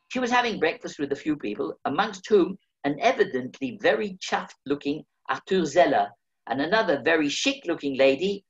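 Older man reading his memoir, lively. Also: on the high side, lo-fi sound. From England. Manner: dry, wry storytelling. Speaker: memoir reader.

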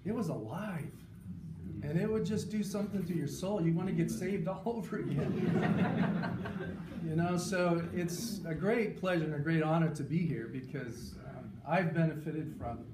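A man's voice: on the high side, normal speed. A man, a pastor, giving an informal, earnest and enthusiastic tribute speech to an audience. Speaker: pastor speaking at a tribute session. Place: conference session room, recorded from a distance. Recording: roomy, distant mic, loud background noise.

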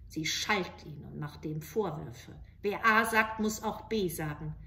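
A woman's voice: low-pitched, a little slow, creaky, pushed and bright, reverberant, a little hiss or hum. A woman in her forties telling a children's fairy tale expressively, recorded in a room at home. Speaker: storyteller. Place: small living room with bookshelves, indoors.

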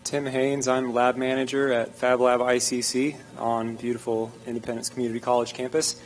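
Flat-voiced man on a panel. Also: mid-range voice, moderate pace, in a large hall, rough recording. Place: theater stage. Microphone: handheld mic.

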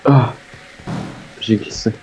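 A man's voice: takes it slowly, highs cut off. A young man with a Québécois French accent joking around while playing a co-op game, goofy and playful. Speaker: co-op gaming partner. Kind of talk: casual co-op gaming chat. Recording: game music underneath. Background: game music.

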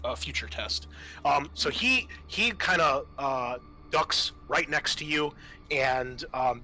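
A man narrating a tabletop roleplaying game as game master, explaining things in a halting, improvised way. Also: medium pitch, sharp tone, a little hiss or hum, low-quality recording.

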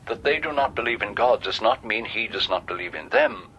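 A dogmatic, coldly authoritative cardinal for radio drama. The voice is tense and forceful at a medium pitch, with grave, emphatic phrasing. Noise is audible in the background. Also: male voice, very animated, brisk, vocal fry, lo-fi sound. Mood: grave, insistent.

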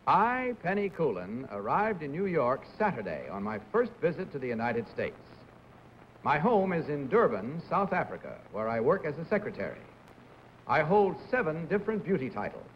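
A man hosting a 1950s television game show, genial and brisk, heard in muffled old broadcast audio. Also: fairly high pitch, slight background noise. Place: TV studio.

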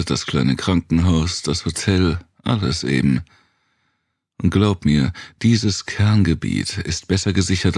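A man reads the part of a tough woman survivor in a dark audiobook, blunt and wary. The voice is low for her, with a gravelly edge, raised somewhat above the narration. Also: no room sound, studio quality, exaggerated voice.

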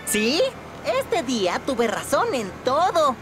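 A woman's voice: high-pitched, very animated, slow pace, exaggerated voice. A woman playing a smug, mischievous young boy in a Latin American Spanish children's cartoon dub. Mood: teasing. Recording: loud music behind.

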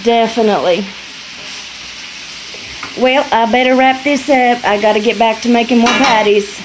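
A woman's voice: fairly high pitch, moderate pace, slight background noise, low-quality recording. Chatty woman filming in her kitchen. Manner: folksy, chatty, laughs easily. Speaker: homestead vlogger. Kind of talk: casual chat. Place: small home kitchen, indoors. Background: food frying and sizzling.

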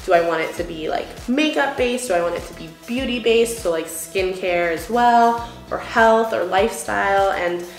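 A woman's voice: medium pitch, normal speed, echoey room, soft background music. A young woman vlogging while doing her makeup, chatty and full of fillers, a little sniffly, filmed by a camera across an indoor room. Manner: chatty, filler-heavy, musing about worries. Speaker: beauty vlogger. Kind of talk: casual get-ready-with-me chat.

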